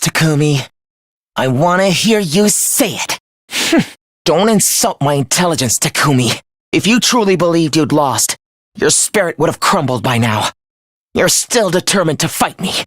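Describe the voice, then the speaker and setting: A sadistic young male game villain with a high voice that swings dramatically up and down. He taunts with mock politeness and obsessive, unhinged glee. The sound is close and dry. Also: lively intonation, a little slow, a bit bright, dry recording. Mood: gleeful taunting, then manic, triumphant. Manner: taunting, theatrical, mock-cheerful. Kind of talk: theatrical video game voice acting.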